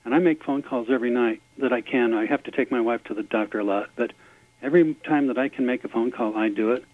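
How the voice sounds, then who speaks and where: A man calling a radio show as a campaign volunteer, earnest and halting with frequent fillers. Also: medium pitch, no echo. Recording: tinny phone line.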